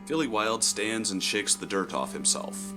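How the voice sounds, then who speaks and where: A man reading scripted story narration in a measured, descriptive way. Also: on the low side, animated, music in the background.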